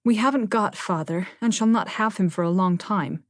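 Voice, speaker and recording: A woman's voice: mid-range voice, moderate pace, no echo, studio quality, natural voice. A woman voicing a tomboyish, bookish teenage girl with a blunt, emphatic, grumbling manner in an audiobook. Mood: sad.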